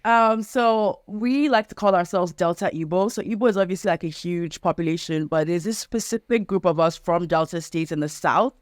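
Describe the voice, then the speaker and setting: A young woman with a smooth, clear voice chats as a guest over a video call. She talks loosely and chattily, leaning on "like" as she goes. The sound is dry and close. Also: on the low side, moderate pace, few pauses, no room sound. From Nigeria. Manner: chatty, frequent "like" fillers, laughs easily. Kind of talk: casual video call chat.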